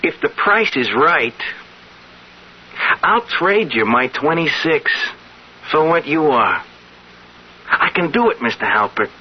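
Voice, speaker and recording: Eager, insistent young hustler, high voice with big swings, radio play, muffled vintage sound. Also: male voice, sing-song, slow pace, long pauses, slightly rough, full character voice. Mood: urgent, persuasive. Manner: urgent, insistent. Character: eager, pushy twenty-six-year-old man striking strange bargains. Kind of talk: 1970s radio drama acting.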